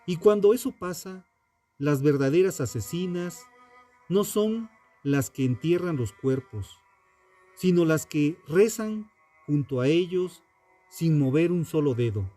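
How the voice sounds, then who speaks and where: Male narrator for true crime: mellow, dark-toned, unhurried and grave, sententious scripted delivery over music. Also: on the high side, animated. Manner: solemn, deliberate, sententious. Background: background music.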